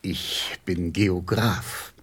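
An actor playing a pompous, pedantic, self-important old geographer in a radio play. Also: male voice, deep voice, steady tone, extremely slow, breathy edge, exaggerated voice.

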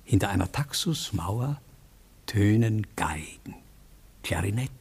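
A man recites verse as a theatrical prologue. His husky, breathy voice takes its time over each phrase, rising and falling widely. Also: on the low side, lively intonation, a little slow, darkish tone. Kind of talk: dramatic poetry recitation.

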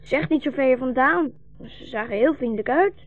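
A woman's voice: high voice, animated, brisk, no echo. A woman playing a trusting, eager young boy who guides an old man, in 1960s radio drama style. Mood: eager, pleading. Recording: thin, phone-like 1960s radio recording.

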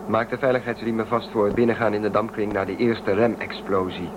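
An actor playing an anxious shuttle pilot in a science fiction radio drama, terse and commanding. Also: male voice, flat delivery, brisk, muffled recording, natural voice. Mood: worried. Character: anxious male shuttle pilot, gruff under pressure. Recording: noisy, rumbling craft ambience.

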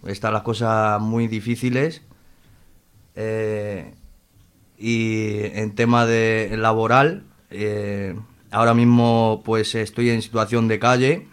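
A man's voice: on the low side, level tone, slow, clear, resonant tone. A man in his late twenties with a Madrid accent, answering informally as an interview guest, hesitant, repeating words and often adding tag questions. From Madrid, Spain.

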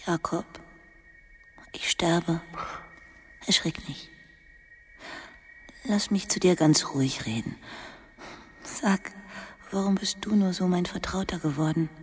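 Dying schoolmistress, breathy half-whisper, low and very slow, tender sorrow, radio drama, some background noise. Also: female voice. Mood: hushed, tender. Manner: slow, hushed, confiding, tender. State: weak, dying (acted). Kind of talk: intimate literary radio drama.

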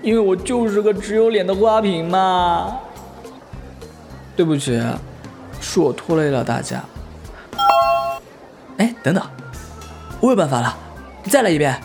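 A performer plays a naive, excitable young male idol in a comic audio drama. The delivery is flustered and pleading, very animated in pitch, with music under it. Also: unhurried, gravelly, pauses often. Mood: apologetic. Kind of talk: audio drama acting, comedic.

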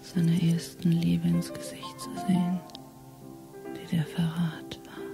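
A woman's whispery voice narrates a radio play in a hushed, ominous way. It is very slow and monotone, with music clearly underneath. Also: on the low side. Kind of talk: scripted literary narration, whispered.

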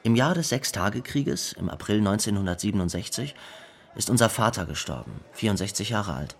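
A low, sombre brother. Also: male voice, animated, brisk, breathy edge. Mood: sombre, reflective. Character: sombre adult brother recalling his family's past. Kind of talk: radio drama monologue.

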